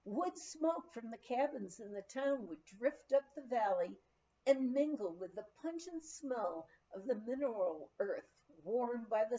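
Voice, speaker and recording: A woman narrates a memoir at a slow, measured pace. Her voice is fairly high and soft-edged, with a mellow tone. She reads it as a scripted story. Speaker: audiobook reader. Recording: slightly dull, lo-fi recording.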